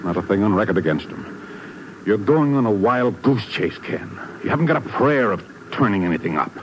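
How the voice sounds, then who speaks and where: Man playing low-voiced skeptical bureau chief. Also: animated, brisk, highs cut off, lightly acted. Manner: firm, matter-of-fact. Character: brisk, skeptical male intelligence chief. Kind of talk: 1950s radio drama acting. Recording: noisy 1950s radio recording.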